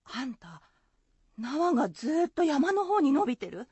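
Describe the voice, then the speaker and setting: Village wife, high voice, anxious, caring, questioning, Shizuoka dialect, dry radio-drama sound. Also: female voice, big pitch swings, moderate pace, dark tone, no room sound. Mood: puzzled. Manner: fretful, questioning. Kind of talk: radio drama folk-tale acting.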